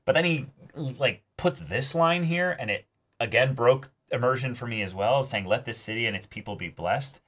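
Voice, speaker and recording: A man's voice: medium pitch, moderate pace, fairly bright tone. A man in a casual discussion as a reaction show host, reflective and hesitant as he searches for words. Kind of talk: casual discussion of an anime episode.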